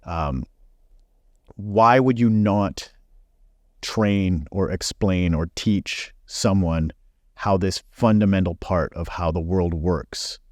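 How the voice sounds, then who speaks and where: A man's voice: sits low, takes it slowly, no room sound, studio quality. A man in his forties, born in Belgium, speaking frankly as a podcast guest with many fillers. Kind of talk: casual interview chat.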